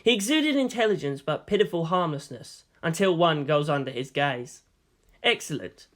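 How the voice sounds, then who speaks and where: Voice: mid-range voice, lively intonation, moderate pace, a bit bright. A narrator giving a scripted audiobook reading of a fan fiction story.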